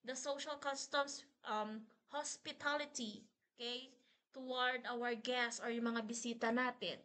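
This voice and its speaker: Bright-voiced Filipino woman teaching a class. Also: a little high, steady tone, measured pace. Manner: mixes English and Tagalog, frequent "okay?" checks. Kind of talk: online class lecture.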